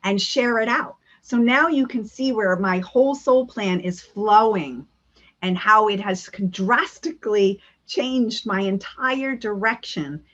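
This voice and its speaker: Slow, earnest woman on a video call. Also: on the high side, a bit bright, highs cut off. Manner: earnest, many fillers, speaks of spiritual energy. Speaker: spiritual practitioner giving a talk. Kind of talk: online talk and Q&A.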